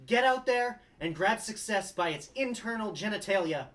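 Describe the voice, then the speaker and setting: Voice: mid-range voice, lively intonation, normal speed, a bit bright, lightly acted. A performer reading an earnest, pushy young male lawyer in a comedic table read. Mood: rousing.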